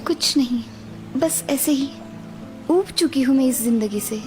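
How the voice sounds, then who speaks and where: A woman's voice: high-pitched, measured pace, a little mellow, soft background music. A woman voicing a young village wife who is fed up with housework and complains sarcastically. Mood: complaining.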